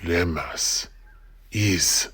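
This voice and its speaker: Man acting a very husky, gravelly low-voiced storyteller. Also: expressive, drawn-out words, bright, forceful tone, exaggerated voice. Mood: grave, dramatic. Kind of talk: dramatic audio play narration.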